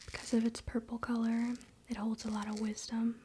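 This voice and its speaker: A woman whispering breathily and softly in an unhurried, casual, chatty ASMR style, close to the microphone. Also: a little high, completely flat delivery, a little hiss or hum. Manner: unhurried, soft-spoken, informal. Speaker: ASMR creator and crystal seller.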